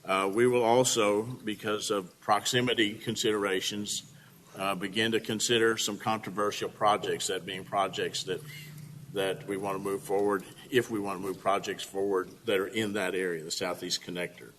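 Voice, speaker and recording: A man chairing a public committee meeting in a relaxed, folksy way. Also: on the low side. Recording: long reverb in a meeting room, faint hiss.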